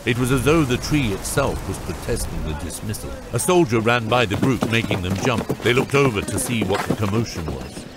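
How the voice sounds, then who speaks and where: A man's voice: fairly low pitch, expressive, a little fast, loud music behind the voice. A man narrating a fantasy audiobook from a script as its storyteller.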